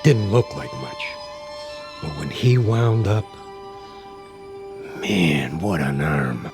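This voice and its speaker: A man's voice: low voice, expressive, slow pace, over music. An older American man acting in a radio drama as a nostalgic, rambling old baseball fan with a folksy manner. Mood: folksy, reminiscing.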